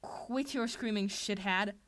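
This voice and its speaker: A woman voicing a gruff, foul-mouthed and irritable teenage boy, curt in manner, in a fan fiction reading. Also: medium pitch, slow pace, close to an everyday voice. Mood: irritated, straining. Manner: curt, swearing.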